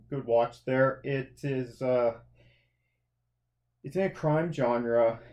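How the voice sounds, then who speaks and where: A man's voice: medium pitch, darkish tone. A man from Canada, co-hosting a movie and TV review podcast in a casual, rambling way, often restarting his sentences. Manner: rambling, self-correcting, chatty with frequent restarts.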